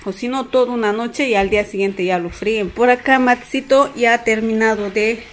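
A woman's voice: fairly high pitch, moderate pace, slight background noise. A Peruvian-accented woman in her thirties chatting affectionately in a casual home vlog, full of diminutives. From Peru. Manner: affectionate, uses many diminutives. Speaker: vlogger. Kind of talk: casual family vlog chat. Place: indoors at home. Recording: music playing behind the voice.

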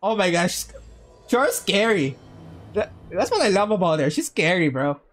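A woman's voice: lowish voice, very animated, moderate pace. A woman giving casual live game commentary as a streamer, playful and slangy. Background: game music.

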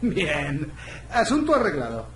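A man voicing a small, wheedling weasel newspaper reporter in a video game, hesitant and excitable as he bargains. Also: on the high side, unhurried, exaggerated voice. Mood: cheeky, pushy. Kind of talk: video game dialogue acting. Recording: echoey, faint background noise.

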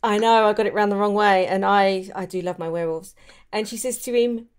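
A woman's voice: mid-range voice, fairly even intonation, fairly quick, smooth. A chatty woman, hosting a casual, conversational discussion of books. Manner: chatty, giggly. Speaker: podcast host. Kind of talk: casual book chat.

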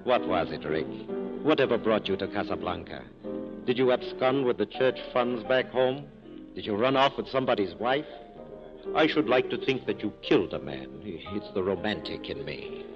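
An actor playing a wryly corrupt police captain with an urbane, teasing, courtly manner, in 1940s radio style. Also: male voice, fairly low pitch, lively intonation, moderate pace, music in the background, natural voice. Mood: suave, teasing. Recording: muffled 1940s radio broadcast recording.

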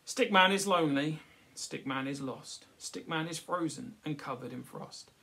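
A man in his thirties or forties reading a picture book aloud to children, pausing to explain it simply. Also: fairly high pitch, vocal fry, bright, forceful tone. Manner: frequently checks with "okay", explanatory. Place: small furnished room at home. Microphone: device camera at about arm's length.

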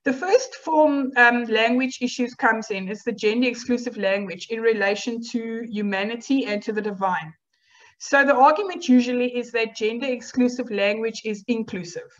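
A woman whose first language is Afrikaans, giving a university lecture in a measured, explanatory way with rhetorical questions. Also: fairly high pitch. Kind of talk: academic lecture over slides. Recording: dull lo-fi online lecture audio.

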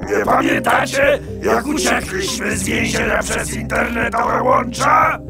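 A man voicing a tyrannical, scheming villain boss in a children's radio play, ranting imperiously and shouting. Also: extremely high pitch, measured pace, vocal fry, full character voice. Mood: triumphant, scolding. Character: tyrannical male villain boss of online evil, furious, scheming. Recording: loud music under the voice.

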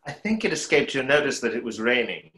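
A man's voice: mid-range voice, sing-song, brisk, pushed and bright. A man plays a stage conjurer full of self-doubt and longing. His mid-range voice rises and falls expressively as he feels his way through reflective speeches. The room rings around him, as in a play read from home over a video call. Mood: wistful, storytelling. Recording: roomy echo of a video call.

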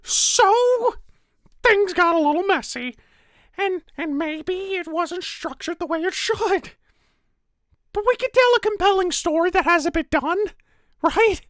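A woman as a high-voiced, bitter underdog. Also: expressive, unhurried, no echo, clean studio sound. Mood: pleading. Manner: casual, sardonic, sweary. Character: bitter, defensive, world-weary character with wounded pride. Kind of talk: naturalistic roleplay voice acting.

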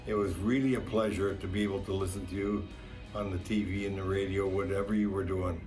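A man with a low voice delivers a congratulatory video message. Music is clearly heard behind him as he speaks. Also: flat delivery, brisk.

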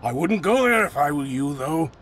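An actor playing a panicked traveling merchant in a video game, speaking in a frantic, exclaiming manner. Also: male voice, a little high, very animated, normal speed, fairly bright tone, a little hiss or hum. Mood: wary. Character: panicked high-voiced male traveling merchant. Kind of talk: video game character acting.

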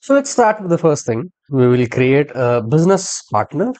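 A man giving a screen-share software tutorial as a trainer, explaining step by step and spelling out codes. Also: a little high, big pitch swings, moderate pace.

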